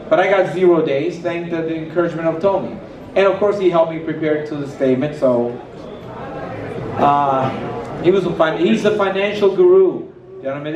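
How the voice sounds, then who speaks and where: A middle-aged Puerto Rican man whose first language is Spanish, giving a rambling, anecdote-filled speech at a retirement party into a handheld mic on a stage. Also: fairly high pitch. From Puerto Rico. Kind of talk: casual speech to a party crowd. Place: large hall with a stage, indoors. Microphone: handheld mic, filmed by a far camera. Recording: echoey hall from far off.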